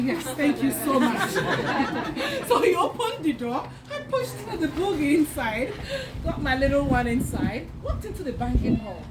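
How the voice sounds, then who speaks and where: A woman from Nigeria sharing her personal story as a bank employee at an outdoor networking event, animated and laughing often. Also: high voice. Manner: animated, laughing often, acts out quoted voices. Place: outdoor park. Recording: noisy outdoor recording.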